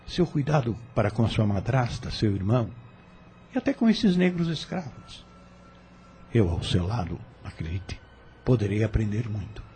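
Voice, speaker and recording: A pushy landowner sweet-talking a young widow, mellow low voice, radio drama, soft music under it. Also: male voice. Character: scheming landowner man wooing a young heiress.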